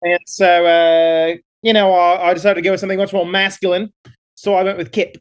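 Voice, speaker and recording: A man's voice: high voice, little pitch movement, fairly quick, lightly acted. A man playing a boastful Australian adventurer, rambling with lots of fillers in a put-on Australian accent. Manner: bragging, rambling, many fillers. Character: boastful male Australian adventurer, self-assured. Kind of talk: improvised comedy character.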